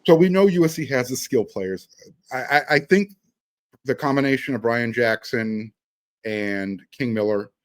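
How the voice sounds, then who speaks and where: A man's voice: medium pitch, moderate pace, dry recording. A man hosting a casual sports talk show, giving his opinions as a fan and putting questions to a guest. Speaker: sports podcast host.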